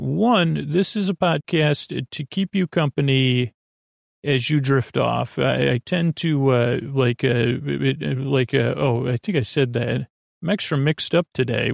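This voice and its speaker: A man with a creaky voice hosting a sleep podcast, meandering gently, mumbling and stumbling over his words as he trails off. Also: mid-range voice, dry recording, studio quality. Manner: gentle, lulling. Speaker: podcast host. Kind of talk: rambling bedtime sleep podcast monologue.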